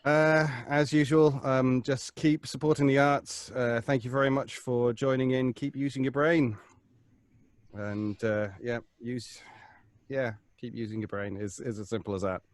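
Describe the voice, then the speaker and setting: A British man in casual group chat, talking in a jokey, halting way. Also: mid-range voice, fairly even intonation, dry recording. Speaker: guest performer.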